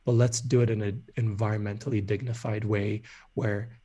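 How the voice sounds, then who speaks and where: A man's voice: fairly low pitch, level tone, moderate pace, mellow, breathy edge, dull audio. A man from Ethiopia speaking as a startup founder and guest in a conversational podcast interview.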